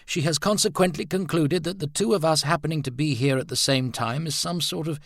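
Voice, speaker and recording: A man voicing a reserved young gentleman who speaks in a courteous, restrained way. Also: a little high, a little fast, creaky, no echo, studio quality, voice pushed up.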